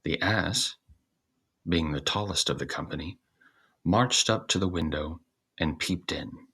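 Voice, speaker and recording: Casting: man to read fairy tales, slow and measured, with a husky voice. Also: sits low, pushed and bright. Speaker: storyteller, reader. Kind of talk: scripted fairy-tale narration.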